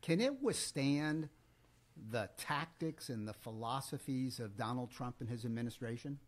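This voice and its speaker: A man's voice: mid-range voice, lively intonation, moderate pace. Man co-hosting a conversational podcast. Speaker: podcast co-host. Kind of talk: casual political and sports chat.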